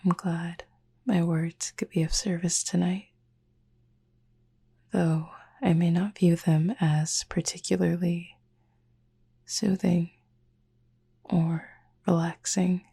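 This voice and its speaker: Cupid roommate, sleep-aid roleplay, female actor: reserved and devoted, hushed breathy delivery, very even pitch, dark soft tone, measured formal phrasing. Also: exaggerated voice. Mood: tender, hushed. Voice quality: breathy, husky, near-whisper. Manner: measured, formal wording with long pauses.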